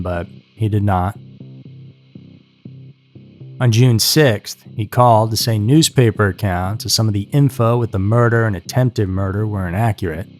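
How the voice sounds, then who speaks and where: A man reading scripted true-crime narration as a narrator. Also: fairly low pitch. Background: music.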